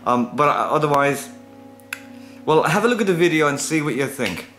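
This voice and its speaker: A man's voice: fairly high pitch, expressive, normal speed, a bit bright, faint music behind. A middle-aged man chatting casually to camera at home, hesitant and thinking aloud. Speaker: vlogger. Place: small room at home.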